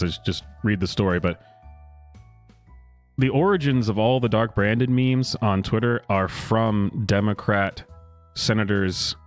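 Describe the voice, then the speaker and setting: Low-voiced sarcastic man reading over music. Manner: sarcastic, theatrical pauses on dramatic phrases. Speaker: commentary host reading a news story aloud. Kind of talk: mock-dramatic read-aloud with sarcastic asides. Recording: music playing behind the voice.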